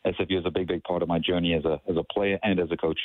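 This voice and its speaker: A man's voice: low voice, little pitch movement, very fast, dry recording. A man from South Africa with a South African accent, a soccer coach giving an earnest phone interview, full of fillers and repeats. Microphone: phone line. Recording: tinny dry phone line.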